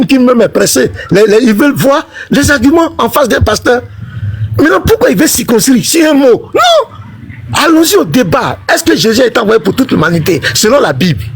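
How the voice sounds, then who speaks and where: A man's voice: very high-pitched, husky. A man, a pastor, arguing heatedly in a live online religious debate, insisting on being heard and issuing challenges. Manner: insistent, challenging, talks over others.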